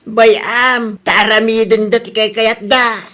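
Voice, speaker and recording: Male actor as older family man in radio drama, notably high voice, curt and grumbling. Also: level tone, slow pace, bright, forceful tone, full character voice. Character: grumbling older husband and father, dismissive. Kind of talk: amateur radio drama acting.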